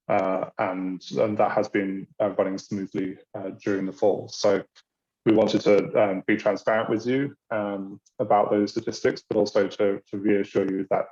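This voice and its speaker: A British man giving an online orientation talk for a university's international office, pausing often with ums and uhs. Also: lowish voice, level tone, darkish tone, dull audio. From Britain. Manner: hesitant, many ums and uhs. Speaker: university international programs staff. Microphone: video call.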